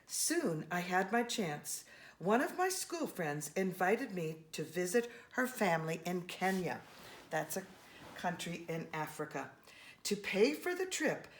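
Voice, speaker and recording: A woman reading a children's storybook aloud in an expressive, animated way, speaking to young listeners. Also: mid-range voice. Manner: expressive, with gasps and brief asides to listeners.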